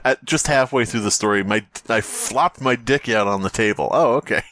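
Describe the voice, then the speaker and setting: A man's voice: mid-range voice, moderate pace, fairly bright tone, no room sound. A man co-hosting a comedy podcast, bantering over a horror story in a joking, mock-exasperated, sweary way. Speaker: podcast co-host.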